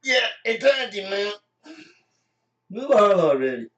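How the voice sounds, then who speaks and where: A man's voice: sits high, very animated, measured pace, a bit bright, voice pushed up, heavily acted. A man playing a blunt, impatient spaceship companion in an amateur comic radio play. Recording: dull, roomy, distant mic.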